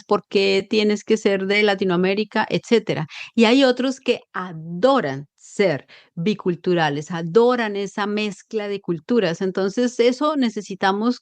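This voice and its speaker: A Colombian woman, a psychologist, talking in a lively and expressive way in an interview, explaining with examples. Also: medium pitch, no room sound. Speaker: psychologist guest. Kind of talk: conversational interview.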